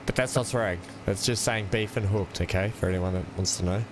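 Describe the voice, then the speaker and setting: A man's voice: lowish voice, a little fast, noisy background. A man with an Australian accent hosting a game stream, chatting casually and laughing often. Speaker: gaming channel host.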